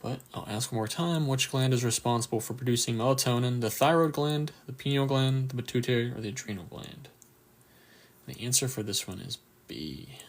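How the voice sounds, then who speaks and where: A man with a croaky, just-woken-up voice runs a casual live quiz for anatomy students, reading answer options and cheering on viewers' replies. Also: medium pitch, lively intonation, fairly quick. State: just woke up, a bit tired. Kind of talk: casual livestream quiz review.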